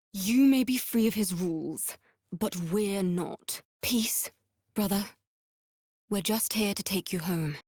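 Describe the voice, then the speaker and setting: A woman's voice: medium pitch, a little mellow, no echo, studio quality. A woman playing a grave, weary vampire servant in a fantasy game, solemn and dramatic in delivery. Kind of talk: scripted fantasy game acting.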